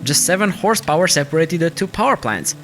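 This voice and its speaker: A man's voice: a little high, big pitch swings, fast, fairly bright tone. A man reading scripted narration as a documentary-style narrator. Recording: music bed under the narration. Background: clear background music.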